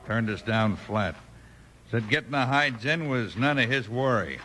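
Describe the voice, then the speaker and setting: Casting: disgruntled backwoods hide hunter, ordinary male pitch, rustic delivery, vintage radio drama. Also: animated, a little slow, sharp tone. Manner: folksy, rustic grammar, grumbling. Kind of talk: 1950s radio western acting. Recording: noisy 1950s radio recording.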